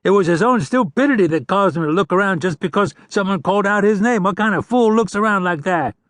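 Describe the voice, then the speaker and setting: A man's voice: sits high, dry recording, studio quality, voice pushed up, natural voice. A man voicing a chatty, sly male outlaw prisoner who wheedles and pleads in a raised voice. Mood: wheedling. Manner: wheedling, fast-talking, pleading.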